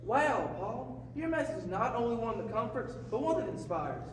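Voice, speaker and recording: A man's voice: very high-pitched, very animated, normal speed. A man playing a folksy, hearty radio co-host puppet with a Southern US accent in a scripted stage skit. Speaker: co-host of a puppet talk show. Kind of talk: scripted comedy talk show skit on stage. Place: large echoey hall, live performance. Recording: echoey hall from far off, crowd, noise.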